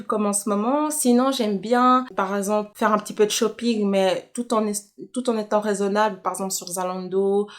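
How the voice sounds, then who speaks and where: Chatty young woman vlogger. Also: mid-range voice. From Congolese, from Kinshasa, living in Switzerland. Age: young adult. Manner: chatty, self-correcting, thinking aloud. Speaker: YouTuber. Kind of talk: casual personal question-and-answer vlog.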